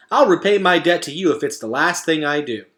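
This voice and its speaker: A man plays a big-hearted, blustery storybook animal. The voice is raised high and swings around, with a hearty, emphatic, folksy delivery. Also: expressive, normal speed, a bit bright. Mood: hearty gratitude.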